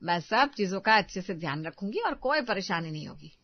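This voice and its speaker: A woman's voice: mid-range voice, sing-song, fairly quick, no room sound, highs cut off. A woman playing a caring, plain-spoken middle-aged mother in a Hindi moral story cartoon. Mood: reassuring.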